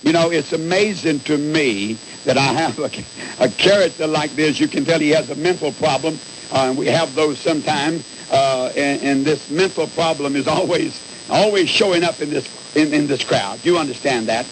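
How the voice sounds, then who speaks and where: Radio preacher guy on a call-in show, kinda high voice, earnest, repeats himself, bit of hiss. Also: moderate pace, few pauses. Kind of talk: live religious call-in radio talk. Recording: hissy, noisy radio broadcast recording.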